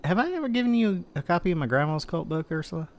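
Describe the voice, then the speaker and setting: A man's voice: high voice, big pitch swings, fast talker. A man in his twenties chatting casually in character during a roleplay, rambling with lots of restarts. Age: 20s. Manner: rambling, self-interrupting storyteller.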